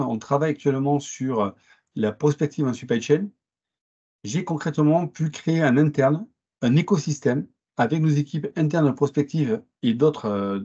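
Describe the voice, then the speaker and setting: A man with a southern French accent, answering interview questions in a measured way, with frequent hesitations and restarts. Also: a little high, dark tone, breathy edge, highs cut off. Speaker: interviewed guest.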